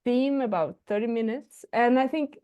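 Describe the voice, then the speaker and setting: A woman's voice: fairly high pitch, normal speed, dark tone, muffled recording. A woman with a Swedish accent, a non-native English speaker, giving an online presentation with many fillers and restarts. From Sweden. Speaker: guest speaker.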